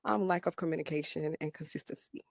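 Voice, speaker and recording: A woman's voice: low-pitched, fast talker, gravelly, a little mellow, no echo, clean studio sound. A woman from Liberia answering casually as a dating show contestant. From Liberia, moved to the US at nine. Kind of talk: casual conversational interview.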